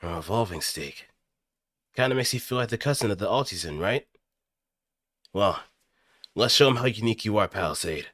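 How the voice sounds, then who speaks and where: A man's voice: fairly low pitch, animated, moderate pace, pauses often, a bit bright, no echo, studio quality. A man voicing a cocky, fired-up mecha pilot delivering theatrical, punchy battle lines in anime robot game style. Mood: confident, teasing.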